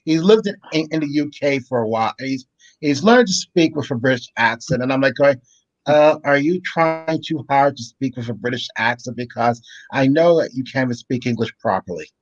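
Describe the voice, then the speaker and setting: A man's voice: medium pitch, fairly quick, no echo, muffled recording. A man from the Boston area, a guest in a casual live chat, talking in a wry, joking way.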